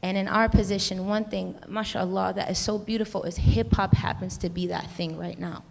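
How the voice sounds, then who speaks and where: American woman panelist, earnest and rambling, gravel in the voice, recorded in an echoing hall. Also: medium pitch, fairly even intonation, moderate pace, slight background noise. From United States. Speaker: panelist, music educator. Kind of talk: reflective panel discussion. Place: convention hall stage. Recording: long reverb of a large hall.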